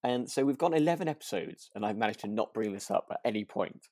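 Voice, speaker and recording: Animated British man telling stories casually. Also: fairly low pitch, fast talker, no echo, studio quality. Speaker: podcast co-host. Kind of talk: casual anecdotal chat.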